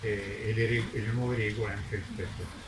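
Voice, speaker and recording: A man speaking informally at a presentation, halting at times and listing points with emphasis. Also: fairly low pitch, fairly even intonation. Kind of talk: informal talk at a book presentation. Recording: roomy, distant mic, clear background noise.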